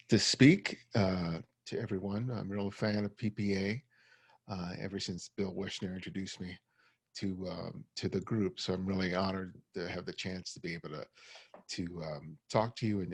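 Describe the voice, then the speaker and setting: Gravelly, halting middle-aged man. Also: low-pitched, no room sound. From United States. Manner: halting, repeats words, slight stutter. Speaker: guest photographer. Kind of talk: personal talk at an online forum. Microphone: video call.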